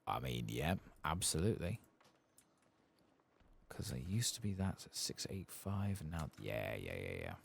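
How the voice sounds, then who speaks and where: A man giving soft-spoken ASMR commentary over a video game, murmuring and sometimes slipping toward a whisper. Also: rumbling low, big pitch swings, unhurried, a little hiss or hum. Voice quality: soft, husky, gravelly. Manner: murmuring to himself, partly whispering.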